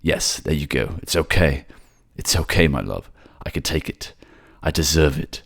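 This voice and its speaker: A man's voice: very deep voice, expressive, moderate pace, vocal fry, a bit bright, full character voice. A man playing a devoted, self-sacrificing lover in a fantasy audio drama, soothing and earnest. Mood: soothing, desperate.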